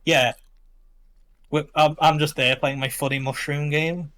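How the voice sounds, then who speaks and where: A man's voice: a little high, little pitch movement, measured pace, fairly bright tone. A young man with a Liverpool accent, chatting casually and informally with plenty of slang. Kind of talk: casual chat.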